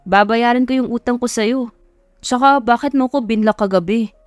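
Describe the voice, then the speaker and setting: A woman's voice: fairly high pitch, moderate pace, no echo, faint music behind, close to an everyday voice. A woman voicing a cocky, possessive rich young man, boastful and bossy, in an audio love story. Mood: indignant, cocky.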